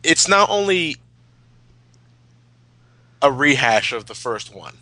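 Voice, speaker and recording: A man's voice: mid-range voice, big pitch swings, slow pace, bright, forceful tone, no echo. A man giving casual fan commentary, opinionated and emphatic, with plenty of swearing. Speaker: commentary host.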